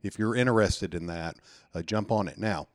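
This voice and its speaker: A man's voice: deep voice, normal speed, no echo, studio quality. A man with a slight lisp, talking casually and earnestly as he promotes an event he helps organize.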